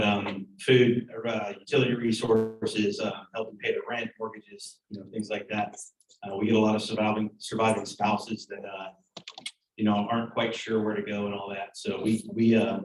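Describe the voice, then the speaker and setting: Man, care coordinator, steady and plain, low flat voice, distant in an echoey room. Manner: plain, steady introduction with fillers. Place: meeting room. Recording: roomy meeting room, far from mic, faint noise.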